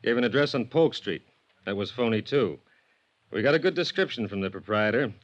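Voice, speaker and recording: Low, terse police sergeant, acted by a man. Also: animated, brisk, lightly acted. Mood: businesslike. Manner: terse, offhand, businesslike. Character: gruff, determined male police detective sergeant. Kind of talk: 1950s radio drama acting. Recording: dull vintage radio recording, faint noise.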